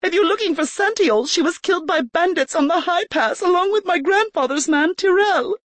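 A woman voicing a young noblewoman who fakes panic but holds her ground, with a high, breathless, pleading delivery. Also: animated, moderate pace, no echo. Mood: frightened.

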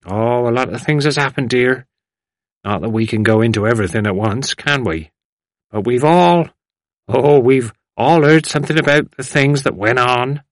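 A man's voice: mid-range voice, normal speed, no room sound, studio quality, natural voice. A man voicing a courteous, solemn old villager from a novel with a folksy way of talking.

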